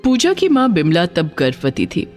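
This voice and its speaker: A woman narrating a scripted dramatic story in an expressive, emphatic way. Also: fairly low pitch, normal speed, soft background music.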